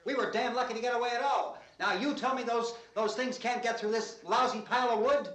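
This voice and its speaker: A man's voice: very high-pitched, steady tone, brisk, fairly bright tone. An actor playing a stubborn, argumentative middle-aged husband and father in a 1960s horror film. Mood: angry, insistent. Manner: insistent, argumentative. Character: stubborn, combative husband and father guarding his family. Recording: echoey old film soundtrack.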